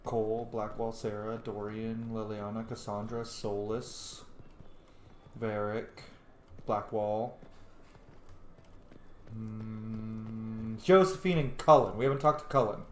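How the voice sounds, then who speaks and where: A man's voice: lowish voice, fairly even intonation, slow pace, pauses often, a little hiss or hum. A man giving informal, sweary let's-play commentary, thinking aloud as he plays. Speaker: video game player and commentator. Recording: echoey room.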